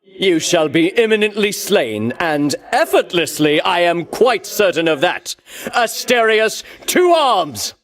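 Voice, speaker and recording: Casting: a boastful ancient Greek champion king in a game, high ringing voice, theatrical pomp, wildly swooping intonation. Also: very animated, slow pace, fairly bright tone, full character voice. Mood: rousing battle cry. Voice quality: ringing, declamatory. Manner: pompous, archaic grandiose diction. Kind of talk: theatrical video game voice acting.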